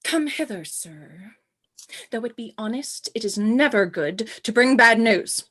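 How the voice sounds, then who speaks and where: A woman's voice: on the high side, animated, a little slow, a bit bright, slightly rough, exaggerated voice. A woman playing a tempestuous, lovesick, imperious queen in a melodramatic Shakespearean radio play. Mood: imperious, seething. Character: tempestuous, lovesick queen Cleopatra raging at a messenger.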